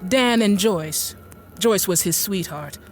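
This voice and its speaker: A woman's voice: mid-range voice, animated, slow pace, faint music behind. An actress playing a genteel, infirm woman inventor in a radio drama, with courteous, hesitant, old-fashioned speech. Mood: polite, hesitant. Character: genteel, infirm middle-aged woman inventor, politely apologetic and bookish. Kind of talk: radio drama monologue and dialogue.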